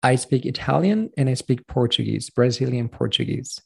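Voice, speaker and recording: Chilean guy, spanish is his first language, really gravelly voice, kinda husky, rambles and restarts a lot. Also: medium pitch, animated, normal speed, warm tone. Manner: earnest, rambling, thinks aloud with restarts. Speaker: pronunciation coach, guest. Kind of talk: casual interview talk.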